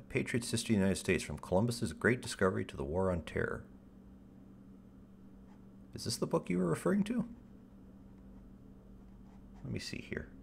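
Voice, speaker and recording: A man hosting a livestream in casual chat, with a deep radio-style voice, rambling in stop-start phrases with a wry, self-deprecating tone and casual swearing. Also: creaky, slight background noise. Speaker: livestream host.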